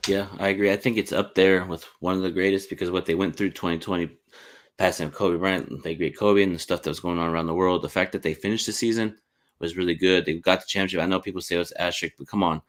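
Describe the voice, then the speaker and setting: Male sports interviewer: low register, quick pace, informal and agreeable chat. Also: steady tone. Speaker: sports podcast host, interviewer.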